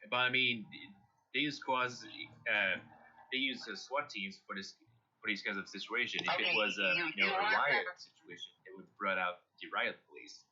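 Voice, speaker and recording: Low, very sharp-voiced man on a stream. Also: lively intonation, moderate pace. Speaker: live stream contributor. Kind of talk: casual speculative news commentary. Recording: dull lo-fi stream audio.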